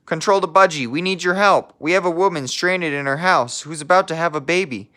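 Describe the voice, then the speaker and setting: A pressing, businesslike dispatcher from a children's storybook, acted out by a male reader. The voice is raised in pitch and brisk in manner. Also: bright, forceful tone. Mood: urgent. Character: urgent male radio dispatcher at a control tower. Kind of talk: children's storybook read-aloud.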